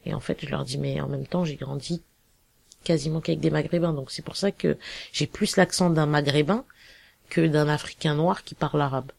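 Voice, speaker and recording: A woman from Cameroon giving a casual personal testimony, halting, with many fillers and restarts. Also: sits low, fairly even intonation, creaky, a little mellow, no echo. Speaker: interviewee.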